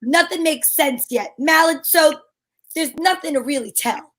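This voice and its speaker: A high, girlish-pitched female gumshoe of old-time radio, acted by a woman. She is wry and breezy, with a wisecracking way of telling her case and trading lines. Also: measured pace, fairly bright tone. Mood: breezy, teasing.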